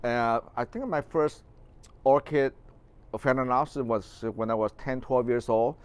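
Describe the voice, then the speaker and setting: Middle-aged Taiwanese-accented man, mid-pitched and smooth, hosts a relaxed orchid stream from a greenhouse, chatty and full of restarts. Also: a little slow. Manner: rambling, many fillers and restarts, gestures while talking. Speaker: orchid grower and show host. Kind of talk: casual live-stream talk. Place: large greenhouse.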